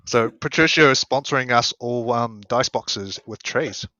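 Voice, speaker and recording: A man hosting a casual video call chat, explaining things in a quick, upbeat way. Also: medium pitch, pushed and bright. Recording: dull lo-fi call audio.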